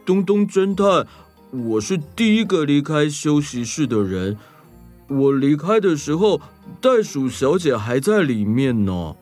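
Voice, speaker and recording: Man playing an animated, higher-voiced male sea turtle. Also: unhurried, faint music behind. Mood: plain, helpful. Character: indignant male green sea turtle who loves singing.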